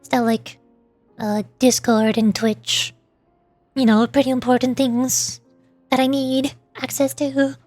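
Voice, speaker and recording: High-voiced woman rambling casually on a livestream. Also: little pitch movement, slow, a little mellow, no room sound, faint music behind. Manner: rambling, self-interrupting. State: voice starting to get worn out. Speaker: VTuber livestreamer.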